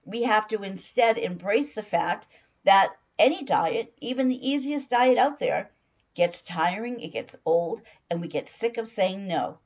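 Hoarse woman, middle-aged, motivational diet coach, emphatic with repetition, slightly muffled sound. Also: mid-range voice, lively intonation, a little slow. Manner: emphatic, repeats phrases for stress. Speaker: weight-loss coach. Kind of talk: motivational talk.

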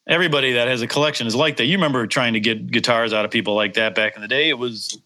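A middle-aged man with a slight Upper Midwest accent chats casually about his life as a guitarist. He rambles and restarts often and pokes fun at himself. His voice is bright and forward, at an ordinary pitch. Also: fairly even intonation, rapid-fire, rough recording. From Wisconsin. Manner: rambling, self-deprecating, many restarts. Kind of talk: casual chat.

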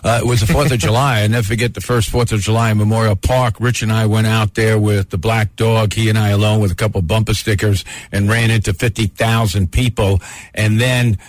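A man who is a longtime radio station manager, telling stories on air in a loud, emphatic, enthusiastic voice. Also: lowish voice, little pitch movement, brisk, dry recording. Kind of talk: storytelling guest on a radio show. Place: radio studio.

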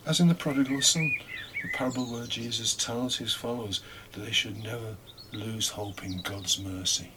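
A man giving a reflective homily, speaking deliberately with pauses and rhetorical questions. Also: mid-range voice, fairly even intonation, background noise. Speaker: preacher giving a scripture reflection.

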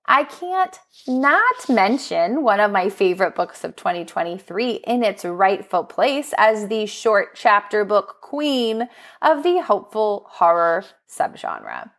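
Expressive woman hosting a book podcast. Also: medium pitch. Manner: enthusiastic, expressive. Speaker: podcast host; school librarian. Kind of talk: book recommendation podcast, prepared narration.

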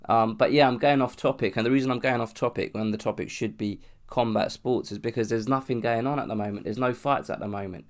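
A man's voice: mid-range voice, quick pace, few pauses. Rambling young man with a cold. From London. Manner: rambling, restarts often, swears casually. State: has a cold and sore throat. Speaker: podcast host. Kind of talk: casual solo podcast monologue.

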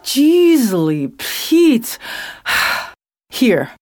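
Woman acting exasperated, high-voiced bossy caregiver sister. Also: sing-song, drawn-out words, dry recording, clean studio sound, exaggerated voice. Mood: impatient, scolding. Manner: scolding, exasperated. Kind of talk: audio drama acting.

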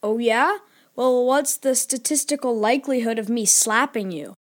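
An actor playing an excitable, combative boy in a children's audio drama, with a brash, teasing manner. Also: mid-range voice, moderate pace, natural voice. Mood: cocky, teasing. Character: excitable, combative boy eager for pirates and scrapes.

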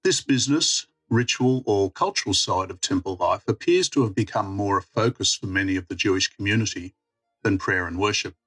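A man delivers a scripted scripture reflection. His voice sits fairly low and has a husky grain to it, with faint music underneath. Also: a little fast, a bit bright, poor audio. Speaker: church mission worker giving a reflection.

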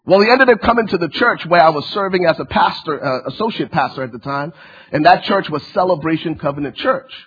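Fervent guest pastor from Liberia, husky. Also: male voice, fairly high pitch, lively intonation, fairly quick, dull audio. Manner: fervent preaching with repeated phrases and many fillers. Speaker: church-planting pastor, guest speaker. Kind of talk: sermon.

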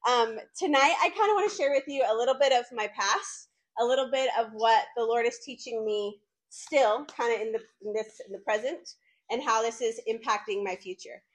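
A woman's voice: fairly high pitch, normal speed. A woman in her late thirties from North Carolina, chatting informally to college students in a faith talk. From North Carolina, United States. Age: late 30s. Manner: chatty and conversational, frequent "like" asides. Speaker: campus ministry speaker.

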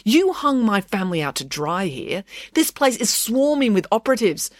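A woman voicing a tough, suspicious spy mother in an audiobook, speaking in a brisk, commanding and wary way. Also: medium pitch, very animated, dry recording, clean studio sound, pitched above the performer's own voice, lightly acted. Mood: stern.

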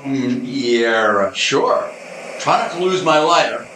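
An amateur actor playing a blunt, offhand supernatural detective in a community radio play, recorded in an echoey room. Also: male voice, mid-range voice, animated, takes it slowly, a bit bright, a little hiss or hum. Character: gruff hard-boiled male supernatural detective.

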